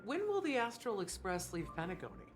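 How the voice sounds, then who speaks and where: An actress playing a wistful, hospitable bartender in a video game, with a theatrical, sentimental delivery. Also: female voice, medium pitch, big pitch swings, fairly quick, lightly acted. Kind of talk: voiced video game dialogue. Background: background music.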